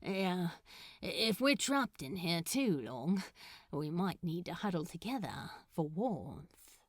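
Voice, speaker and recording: A woman's voice: on the low side, lively intonation, slow pace, no echo, clean studio sound, pitched below the performer's own voice. A woman voicing a laid-back, teasing, cocky man in a fan fiction audiobook, with a casual offhand drawl.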